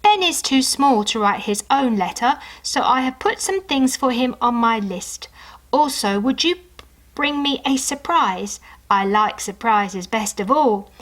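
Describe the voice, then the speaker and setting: Woman voicing an earnest little girl, pitched up. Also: lively intonation, a little slow, a bit bright, rough recording. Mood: earnest, hopeful. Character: little girl reading out her letter, earnest and sweet. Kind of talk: children's storybook read-aloud.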